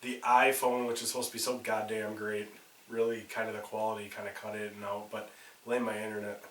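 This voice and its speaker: A man's voice: fairly low pitch, monotone, brisk, a bit bright, echoey room, distant mic, poor audio. A man co-hosting a casual horror podcast, talking with a nasal stuffiness from a cold and swearing freely between restarts. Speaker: podcast co-host. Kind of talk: casual chat.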